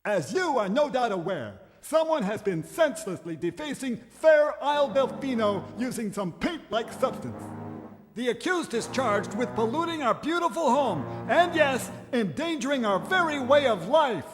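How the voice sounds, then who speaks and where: High-pitched, pompous prosecutor. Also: male voice, sing-song, normal speed, big-room sound, over music. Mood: grave, indignant. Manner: pompous, formal, accusatory. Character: indignant, pompous islander prosecutor making courtroom accusations. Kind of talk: theatrical video game cutscene acting.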